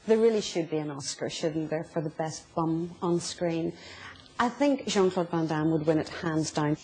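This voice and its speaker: A woman's voice: low voice, moderate pace, noisy background. A middle-aged woman giving commentary in a studio interview. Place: indoor studio set.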